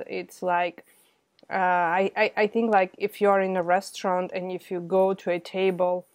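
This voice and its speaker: A woman's voice: medium pitch, darkish tone, no echo. A woman hosting a casual interview, chatty and enthusiastic. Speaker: interview host.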